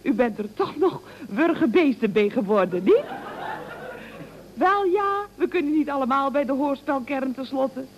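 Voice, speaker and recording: An actress playing a cheeky, dry-witted office receptionist in radio comedy, with a mock-formal, teasing manner. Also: female voice, high voice, lively intonation, measured pace. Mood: mock-polite, teasing. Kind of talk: 1970s Dutch radio comedy acting. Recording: dull old radio recording.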